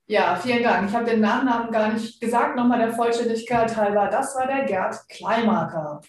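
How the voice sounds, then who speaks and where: A woman's voice: on the high side, moderate pace. A woman hosting a podcast, inviting her team to introduce themselves with short, friendly prompts. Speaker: podcast host. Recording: echoey room.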